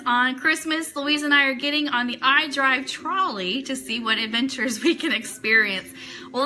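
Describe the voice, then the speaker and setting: A woman's voice: fairly high pitch, sharp tone, low-quality recording. An American woman hosting an online radio show live, chatting with viewers and reading items aloud in a chatty manner. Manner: chatty, greets viewers by name. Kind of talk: live-stream chat mixed with reading aloud.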